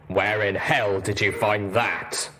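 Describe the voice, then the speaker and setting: A man voicing a gruff armoured soldier stallion with a booming, grumbling voice in an audio story. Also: fairly even intonation, takes it slowly, sharp tone. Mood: angry, shocked.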